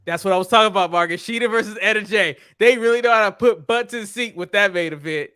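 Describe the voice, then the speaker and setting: A man's voice: high-pitched, quick pace, sharp tone, clean tone, dry recording, clean studio sound. A man co-hosting a podcast, teasing his co-hosts in casual banter. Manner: teasing, good-natured ribbing. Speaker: podcast co-host.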